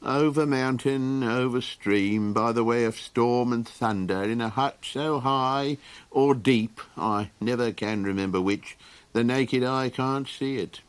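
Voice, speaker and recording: A man's voice: mid-range voice, measured pace, smooth, lightly acted. A man voicing a scatterbrained old male Golox creature who rambles and hesitates in an audiobook. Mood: rambling. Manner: rambling, hesitant with many ums. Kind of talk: audiobook character voice.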